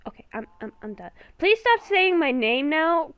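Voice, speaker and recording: Nervous young werewolf woman, acted by a female gamer, Minecraft roleplay; halting, repetitive speech, high register, very animated pitch. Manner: halting, stammering, repeats herself. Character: nervous young woman werewolf, hearing ghostly voices at night.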